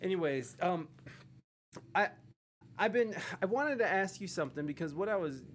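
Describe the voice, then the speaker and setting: A man's voice: sits high, expressive, normal speed. A man in a casual livestream chat, speaking in a hesitant, rambling, self-deprecating way as he interviews. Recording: faint background noise.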